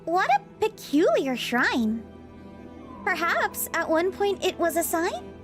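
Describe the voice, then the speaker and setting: Woman as young girl adventurer, very high voice, animated, proper, earnest, theatrical rhyming, fantasy game. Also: takes it slowly, soft background music, exaggerated voice. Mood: curious. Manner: theatrical, rhyming storybook delivery. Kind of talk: fan dub of a fantasy video game.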